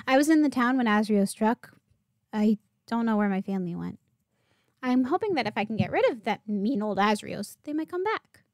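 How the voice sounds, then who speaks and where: A woman's voice: a little high, expressive, moderate pace, no room sound, clean studio sound. A woman playing a naive, earnest young girl herbalist in a fantasy audio drama. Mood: wistful, sad. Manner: childlike, earnest, guileless.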